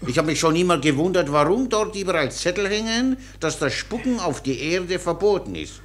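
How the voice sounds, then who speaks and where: An actor playing a good-natured, naively cheeky soldier servant in a German radio play, deadpan, servile and impudent at once. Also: male voice, high-pitched, a little fast, reverberant. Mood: deadpan cheek.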